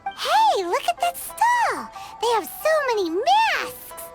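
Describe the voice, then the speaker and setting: A woman's voice: very high-pitched, wildly swooping pitch, takes it slowly, heavily acted. A woman voicing a tiny chirpy female fairy companion who talks about herself in the third person and whines a lot, in a game cutscene. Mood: curious. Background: game music.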